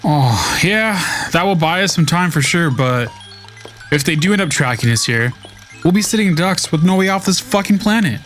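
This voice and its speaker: A man's voice: on the high side, expressive, moderate pace, fairly bright tone, soft background music. A man playing a wisecracking, exasperated spacefaring sidekick in an amateur sci-fi radio drama. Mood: worried.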